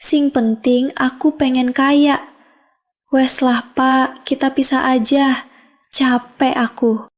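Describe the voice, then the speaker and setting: A greedy, discontented wife complains in a high, whiny voice with a Javanese accent, played by a woman. She grumbles steadily about money in this animated drama. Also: slow pace, pauses often. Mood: complaining.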